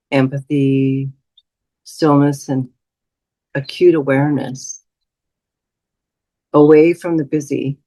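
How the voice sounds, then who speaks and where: Slow, even-toned woman guiding meditation. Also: deep voice, no echo, low-quality recording. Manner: soothing, unhurried, with long pauses. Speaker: meditation teacher. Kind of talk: guided meditation.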